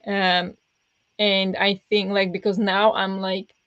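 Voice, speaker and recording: Woman rambling on a book stream, pressed kinda raspy voice, dull lo-fi sound. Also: mid-range voice, level tone, slow pace, fairly bright tone, dry recording. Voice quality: pressed voice that turns raspy easily. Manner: rambling, with many fillers and restarts. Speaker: book streamer hosting reading sprints. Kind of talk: casual livestream chat with viewers.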